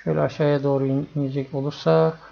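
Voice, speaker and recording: A man narrating an informal electronics tutorial in an unhurried, matter-of-fact way. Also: a little high, level tone, creaky. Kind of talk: informal explanatory screencast narration. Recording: dull, lo-fi screencast audio.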